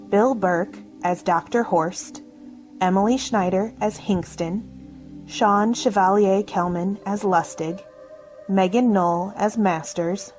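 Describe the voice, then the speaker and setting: A woman announcer reading scripted radio drama credits in a measured way. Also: fairly low pitch, darkish tone.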